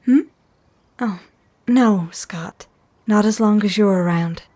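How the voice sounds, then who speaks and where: A woman playing a troubled, gentle young telepath in an audio drama, speaking earnestly and introspectively. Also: mid-range voice, slow. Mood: quiet.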